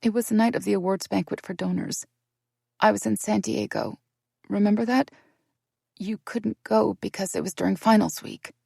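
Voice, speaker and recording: A woman's voice: medium pitch, moderate pace, vocal fry, darkish tone, slightly rough, no echo, clean studio sound, pitched above the performer's own voice. Woman voicing a tearful young woman confessing. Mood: remorseful. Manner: pleading, tearful, breaking voice. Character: distraught young woman confessing a dark secret.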